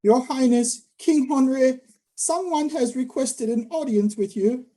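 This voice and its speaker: A woman playing a nervous, deferential royal servant in a period audio drama, hesitant and halting. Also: high-pitched, warm tone.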